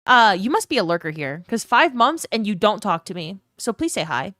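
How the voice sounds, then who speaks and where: A woman streamer, chatting casually and rambling, with a slight vocal fry at the ends of her phrases. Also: mid-range voice, very animated, a little fast, a bit bright, no room sound, studio quality. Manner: chatty, rambling, laughs often, thanks viewers by name. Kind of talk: casual live-stream chat.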